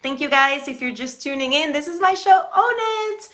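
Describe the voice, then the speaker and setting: Bright, earnest woman hosting a live stream. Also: high voice, expressive, normal speed. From Cuba. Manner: earnest, encouraging, addresses viewers directly. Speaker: live stream show host. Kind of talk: motivational live-stream talk.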